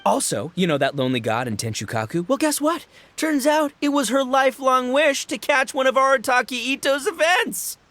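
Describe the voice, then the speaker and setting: A man's voice: very high-pitched, sing-song, normal speed, hardly any pauses, a bit bright, heavily acted. A man voicing a brash, boastful young gang boss and rocker in a video game, with cocky, boisterous, slangy delivery. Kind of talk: animated video game voice acting.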